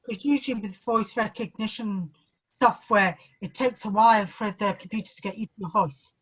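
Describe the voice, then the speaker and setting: A woman's voice: mid-range voice, moderate pace, slightly rough. A woman taking part in an online webinar and asking a question with curiosity. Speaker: webinar participant. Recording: dull, distant call audio.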